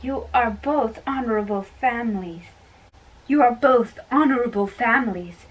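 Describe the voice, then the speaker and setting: A female reader doing an amateur dramatic play reading, a little halting, recorded at a distance from the mic with background noise. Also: a little high.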